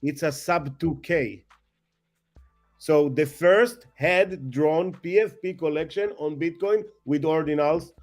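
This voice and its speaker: A man chatting as a guest, excitable and rambling, self-mocking, with non-native English phrasing. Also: fairly high pitch, slow pace, soft background music. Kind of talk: casual chat.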